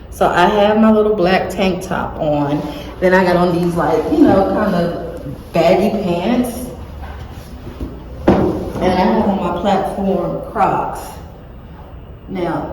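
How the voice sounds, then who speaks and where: Slow-talking woman in an echoey room. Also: lowish voice, a little hiss or hum. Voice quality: monotone. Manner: chatty, rambling with many "like"s. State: slightly scratchy voice. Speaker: vlogger. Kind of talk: casual self-filmed vlog talk. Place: indoor room, cold. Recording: echoey room with long reverb, faint ambience.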